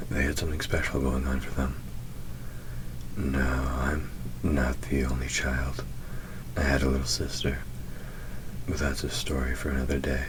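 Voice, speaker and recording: An ancient, proud demon, played by a man, with an extremely deep voice. He murmurs slowly and deliberately, nearly whispering, gruff yet tender underneath. It is an intimate audio roleplay with clear background noise. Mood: somber, bitter. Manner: slow, deliberate, softly murmured, often near a whisper. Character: centuries-old male demon, proud, gruff but tender.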